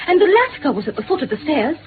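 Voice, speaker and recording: A high, expressive voice on a thin vintage radio recording: a genteel wife. She is anxious and earnest, clinging to hope with open emotion in 1950s drama style. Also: fast, narrow, tinny audio, background noise. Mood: troubled recollection.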